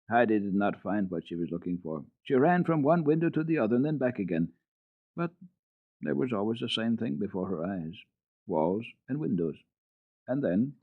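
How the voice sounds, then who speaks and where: A man telling a children's story on a radio program in a dramatic, deliberate reading with expressive pauses. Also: medium pitch, no echo. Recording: tinny band-limited archival radio recording.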